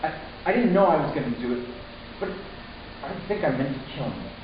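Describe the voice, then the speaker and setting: A man playing a troubled, resentful human youth in a dramatic first-person story reading, halting and intense with deliberate pauses. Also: on the high side, animated, close to an everyday voice. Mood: bitter, agitated. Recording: distant, very echoey room, muffled, hissy.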